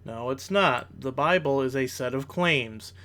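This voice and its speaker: A man's voice: mid-range voice, unhurried, sharp tone. A man delivering scripted rebuttal commentary in formal wording, with an analytical and bluntly critical manner. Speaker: commentator, video host.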